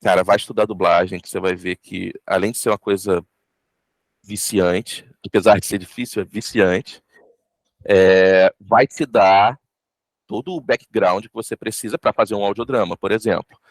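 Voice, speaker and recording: Low-voiced Brazilian man explaining casually. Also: steady tone, normal speed, no room sound. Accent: Brazilian Portuguese. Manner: explanatory, emphatic repetition. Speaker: voice actor giving career advice. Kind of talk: casual interview advice.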